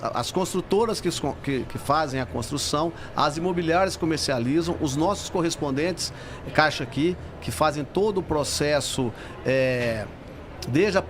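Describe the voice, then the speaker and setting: Higher-voiced Brazilian man explaining, faint noise. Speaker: bank executive, interview guest. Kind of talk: informal explanatory interview answers. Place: convention centre trade fair. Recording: faint event hall background noise.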